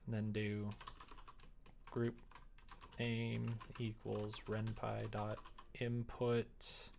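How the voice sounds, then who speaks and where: A man's voice: on the low side, vocal fry, slight background noise. A man streaming live, chatting casually and muttering to himself as he thinks aloud while working at the computer.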